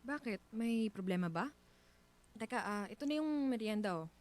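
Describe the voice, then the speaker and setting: Soft-hearted, hesitant Filipino wife acted by a woman. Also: fairly high pitch, animated, normal speed, natural voice. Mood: worried, curious. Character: soft-hearted wife, attached to the household's lodger. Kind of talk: Filipino radio drama acting.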